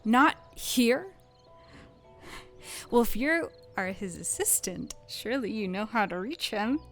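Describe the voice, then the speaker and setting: A woman's voice: fairly high pitch, expressive, slow pace, fairly bright tone, full character voice. A woman playing a determined, polite but persistent newspaper journalist in a screenplay reading, with music behind her. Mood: indignant, insistent. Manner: earnest, insistent, pushes back. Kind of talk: screenplay table-read acting.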